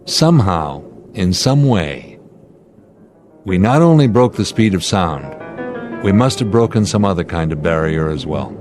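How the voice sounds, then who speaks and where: A deep-voiced American airline captain in an audio drama. He reasons calmly under pressure, deliberate and authoritative, explaining each point, with music behind him. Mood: grave.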